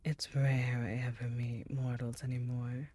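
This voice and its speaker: An ancient female succubus demon queen, performed by a woman for an intimate ASMR roleplay. Her voice is very deep, dark and mellow, slipping often into soft breathy whispers. She speaks slowly, with a tender, teasing and remorseful temper. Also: little pitch movement. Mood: fond, confiding.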